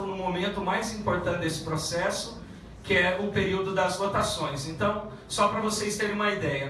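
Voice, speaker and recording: A man who is a federal deputy giving a halting public speech at a meeting, with many restarts and fillers. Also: sits high, bright, forceful tone, slight background noise. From Paraná, Brazil. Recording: echoey room from far off.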